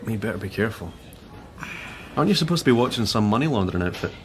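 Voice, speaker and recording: An actor playing a dogged, rueful police sergeant in a radio drama, brisk and understated. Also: male voice, fairly low pitch, animated, slight background noise, natural voice. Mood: rueful, casual.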